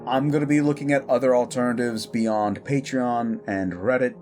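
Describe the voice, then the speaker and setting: A man's voice: mid-range voice, steady tone, normal speed, darkish tone. Male host, mid-range voice, informal and meandering, speaking casually to his listeners with music behind. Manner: rambling, informal, self-interrupting. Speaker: audio roleplay creator and host.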